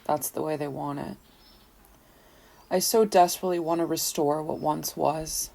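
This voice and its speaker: A low, soft-edged voice a lonely, guilt-ridden woman. She narrates an audio horror drama like a confession, somber and measured, with fear underneath. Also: steady tone, vocal fry. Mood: mournful, determined.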